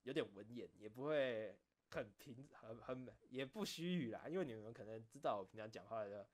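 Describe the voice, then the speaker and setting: A man talks in a loose, casual storytelling style. His mid-range voice moves up and down in a very animated way. He rambles along, repeating phrases to drive things home. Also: mid-range voice, moderate pace. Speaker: online streamer and game content creator. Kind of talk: casual late-night chat, personal storytelling.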